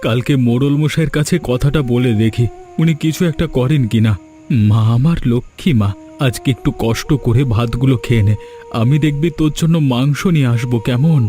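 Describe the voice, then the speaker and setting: A man's voice: mid-range voice, brisk, dark tone, lightly acted. A man voicing a humble, anxious, poor farmhand father in a fairy tale cartoon, speaking deferentially and pleadingly. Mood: tender, sorrowful. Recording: music behind the voice.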